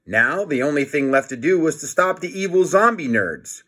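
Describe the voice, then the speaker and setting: Bright-voiced man reading aloud. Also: fairly high pitch, expressive, normal speed. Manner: playful, dramatic, comments on the pictures. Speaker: storybook reader. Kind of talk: animated read-aloud of a children's book.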